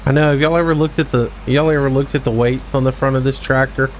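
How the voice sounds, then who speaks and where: A man's voice: medium pitch, fairly even intonation, quick pace, a little hiss or hum, low-quality recording. A man in his forties giving casual, laid-back gameplay commentary as a streamer, chatting back to his viewers. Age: 40s. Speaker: game streamer.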